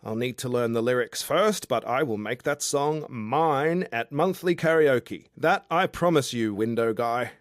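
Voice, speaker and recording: A man's voice: medium pitch, unhurried, few pauses, no echo, studio quality, natural voice. A man voicing a cocky, tipsy young man from the outback, speaking in a brash, teasing, sing-song way.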